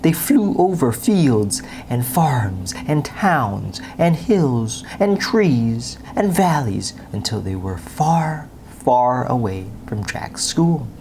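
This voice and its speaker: A woman with a very deep voice reads a children's bedtime story. Her delivery is unhurried and expressive, swinging in pitch, with noticeable noise in the recording.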